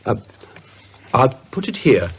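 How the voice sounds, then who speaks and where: A man's voice: medium pitch, sing-song, slow, slightly rough, exaggerated voice. A man playing a level-headed, thoughtful investigator of an occult mystery in an audio horror drama. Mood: puzzled, thoughtful.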